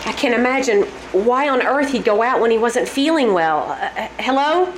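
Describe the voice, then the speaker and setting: A woman performs an anxious, impatient wife in an old-time radio drama. The voice is high and very animated, exclaiming and questioning with suspicion. A light layer of noise surrounds it. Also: normal speed, close to an everyday voice. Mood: anxious, puzzled. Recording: noisy live stage performance recording.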